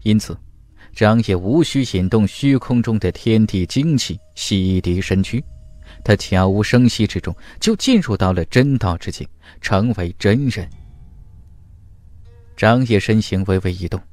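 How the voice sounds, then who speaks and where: Expressive low-voiced male audiobook narrator. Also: dry recording. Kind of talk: dramatic scripted narration of a fantasy novel.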